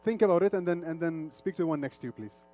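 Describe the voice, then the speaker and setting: A man's voice: fairly high pitch, quick pace, dark tone. A middle-aged man, an Arabic speaker, giving an informal, interactive stage presentation. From Lebanon, grew up in Saudi Arabia. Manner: informal, switches between Arabic and English. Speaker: conference speaker, media executive.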